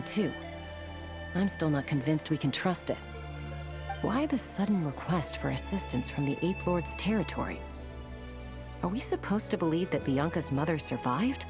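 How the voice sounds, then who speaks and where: An actress playing a cautious, level-headed woman in a video game, thinking things through aloud. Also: sits low. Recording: game audio with music behind. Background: game music.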